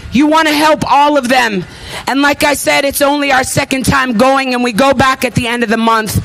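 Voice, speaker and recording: A woman's voice: high-pitched, gravelly, fairly bright tone, rough recording. A woman in her thirties giving an impassioned, emphatic rally speech as an activist, with repeated phrases and emotion in her delivery. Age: 30s. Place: at a rally before an audience.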